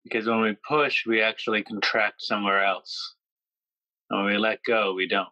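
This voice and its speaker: A man guiding a yoga nidra meditation, speaking slowly and gently with pauses between phrases. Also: lowish voice, fairly even intonation, no room sound, highs cut off. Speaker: meditation guide.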